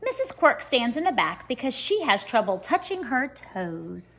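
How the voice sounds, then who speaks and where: A woman reading a children's story aloud like a teacher, expressive and speaking straight to young listeners. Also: on the high side, normal speed. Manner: expressive, addressing children directly.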